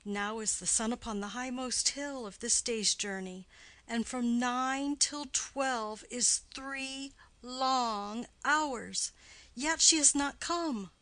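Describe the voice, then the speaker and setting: A woman's voice: a little high, slow pace, sharp tone, no room sound. A woman voicing an impatient, lovesick, pleading young girl in a classical stage play reading, earnest and declamatory. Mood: impatient longing.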